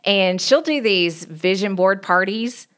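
A woman's voice: mid-range voice, animated, slow, clear, resonant tone. A woman in her forties from Tennessee with a Southern accent, chatting earnestly as a pastor and podcast co-host. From Knoxville, Tennessee. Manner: warm, earnest, rambling with restarts. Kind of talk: casual chat.

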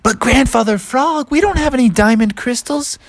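A man doing excitable little boy frog Froggy. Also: very high-pitched, moderate pace, no echo, clean studio sound, pitched above the performer's own voice. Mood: earnest. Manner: earnest, anxious, breathless questions. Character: small eager young boy frog, excitable.

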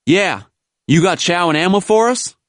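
A man's voice: high-pitched, animated, normal speed, fairly bright tone, no room sound, clean studio sound, voice pushed up. A man voicing a blunt, exasperated Marine sergeant in a war audiobook, salty and commanding. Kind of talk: audiobook character dialogue.

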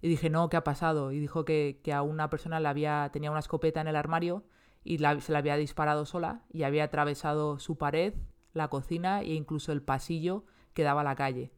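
A woman's voice: sits low, steady tone, quick pace, smooth. A woman in her thirties with a Spanish accent, chatting casually as an interview guest and restarting her sentences often. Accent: Spain Spanish. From Spain. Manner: chatty, many restarts and fillers.

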